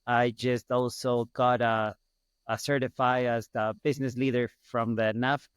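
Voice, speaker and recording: Smooth-voiced Ecuadorian man, close and dry. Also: medium pitch, level tone, a little slow, no room sound. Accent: Ecuadorian Spanish. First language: Spanish. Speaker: podcast guest, hospital business owner. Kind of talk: casual interview storytelling.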